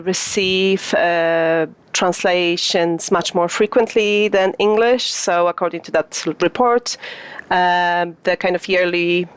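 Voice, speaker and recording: A woman's voice: on the low side, clean tone. A woman from Poland whose native language is Polish, answering interview questions about translation in a thoughtful academic way, with many fillers and restarts. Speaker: guest, translation studies lecturer.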